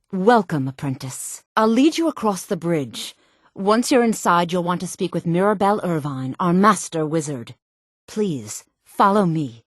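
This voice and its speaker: An actress playing a sultry, composed female mage in a fantasy video game, speaking in formal, deliberate phrases. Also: on the low side, a little mellow, no echo, clean studio sound. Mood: composed, welcoming. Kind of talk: scripted fantasy game dialogue.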